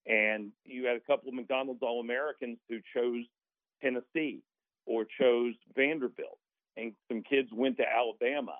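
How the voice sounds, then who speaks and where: A man's voice: medium pitch, moderate pace, dry recording. Man on a tinny phone-like line. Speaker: sports radio play-by-play announcer, guest. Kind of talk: conversational basketball analysis.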